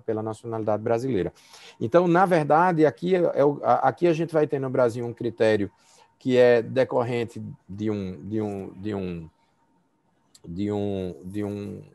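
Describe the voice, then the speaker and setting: A man's voice: medium pitch, vocal fry, a little mellow, no room sound. A Brazilian man, a law professor, giving a conversational university lecture and feedback over a video call, with frequent fillers and restarts.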